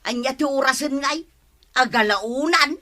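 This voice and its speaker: An actress playing a boastful, quarrelsome village wife in an Ilocano radio comedy, with an Ilocano accent and exclamatory, drawn-out delivery. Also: female voice, high-pitched, sharp tone. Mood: complaining, excitable.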